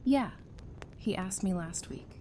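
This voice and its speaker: A woman's voice: lively intonation, measured pace, dark tone, lightly acted. A woman playing a supportive, frank, loyal friend in an audio drama, with a reassuring and candid delivery. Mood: happy. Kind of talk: fan audio drama dialogue. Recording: background noise with low rumble.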